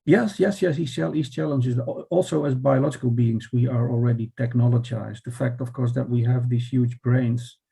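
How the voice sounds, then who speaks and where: A man's voice: medium pitch, fairly even intonation, moderate pace, gravelly, few pauses, mellow, breathy edge. A man with a Dutch accent explaining philosophy in conversation, thoughtful and hesitant, often restarting and checking with "right?". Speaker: philosopher guest.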